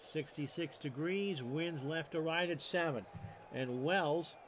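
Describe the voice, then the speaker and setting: A middle-aged man hosting and calling a simulated baseball game, folksy and quick to correct and joke about his own slips. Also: a little high, slight background noise. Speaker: host and play-by-play caller of a simulated baseball replay. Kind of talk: solo tabletop sports simulation commentary streamed live.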